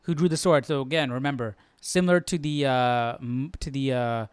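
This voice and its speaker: Male host, casual live commentary, medium pitch, clear voice, thinking aloud, dry sound. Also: normal speed, no room sound. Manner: informal, thinking aloud, checks with "right?".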